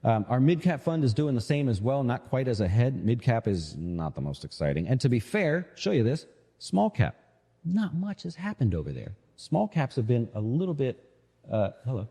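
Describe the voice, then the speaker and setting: A man's voice: mid-range voice, sing-song, moderate pace, warm tone, slightly rough. A man hosting a live financial show, giving casual, conversational market commentary peppered with rhetorical check-in questions, his voice echoing. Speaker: financial show host, investment manager. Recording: echoey hall-like reverb on voice.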